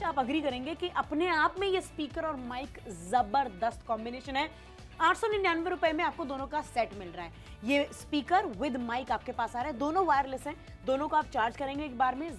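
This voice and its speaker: A woman's voice: high voice, animated, fairly quick, soft background music. A woman presenting a TV shopping segment, chatting playfully and persuasively in a mix of Hindi and English. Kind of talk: chatty sales pitch mixing Hindi and English.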